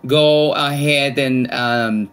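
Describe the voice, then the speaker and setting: A man's voice: mid-range voice, fairly even intonation, extremely slow, a bit bright, clear, resonant tone. A man in his forties chatting casually and enthusiastically as an amateur radio operator. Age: 40s. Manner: friendly, chatty, enthusiastic about old radios. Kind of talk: casual ham radio chat.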